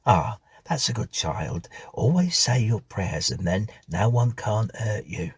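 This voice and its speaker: Man voicing a chatty, husky-voiced old housekeeper woman. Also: on the low side, big pitch swings, slow pace, dry recording, voice pushed up, heavily acted. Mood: kindly. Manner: colloquial rustic servant speech, fussing and scolding. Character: kindly, superstitious, chatty older housekeeper woman.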